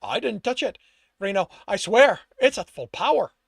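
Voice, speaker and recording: A man's voice: very high voice, big pitch swings, normal speed, no echo, voice pushed up, full character voice. A man voicing a frightened human henchman in a fantasy story, nervous and pleading. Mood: scared, pleading. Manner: nervous, trembling, insistent. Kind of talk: storybook character dialogue.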